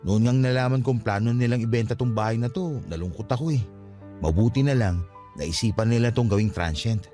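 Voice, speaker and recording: A man's voice: mid-range voice, a little fast, dark tone, lightly acted. Man playing polite Filipino caretaker, music underneath. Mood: reflective. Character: helpful young male caretaker of a haunted guesthouse, calm, respectful. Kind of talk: Filipino radio drama acting.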